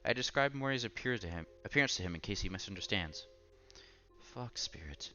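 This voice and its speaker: A man's voice: fairly low pitch, expressive, fast, sharp tone, dull audio. A man reading a visual novel aloud in a casual let's-play style, sometimes stumbling over words and repeating them. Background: game music.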